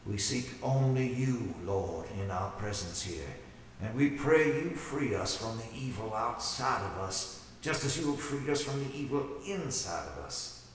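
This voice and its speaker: A man's voice: medium pitch, lively intonation, unhurried, close to an everyday voice. Man acting fervent camp preacher in an echoing hall. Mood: solemn, imploring. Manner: solemn, emphatic, declamatory. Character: male camp preacher, fervent, righteous and authoritative. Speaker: preacher leading prayer at a religious camp. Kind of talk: sermon and prayer in a horror audio drama. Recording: echoey hall, distant mic, faint noise.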